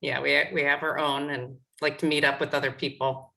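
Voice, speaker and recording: A woman with a somewhat low yet bright voice, introducing herself on a video call. She sounds friendly and a little shy. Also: level tone, a little fast, vocal fry, low-quality recording. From Florida, USA. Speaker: design associate.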